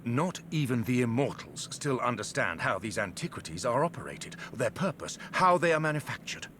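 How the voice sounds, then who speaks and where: An actor playing a learned archaeologist with a theatrical, musing manner in video game dialogue. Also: male voice, mid-range voice, very animated, moderate pace, fairly bright tone, slight background noise.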